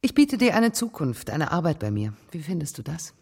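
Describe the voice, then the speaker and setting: Knarrige, fordernde Geschäftsfrau. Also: female voice, low voice, sing-song, moderate pace, mellow, no echo, clean studio sound, full character voice. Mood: coaxing. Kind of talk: modern German radio drama acting. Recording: clearly noisy ambient background.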